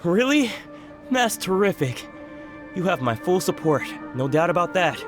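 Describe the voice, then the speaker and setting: Reassuring, steadfast male townsman, high for a man, expressive, earnest game dub with music. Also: a little slow. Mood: encouraging. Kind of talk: English anime game dub acting.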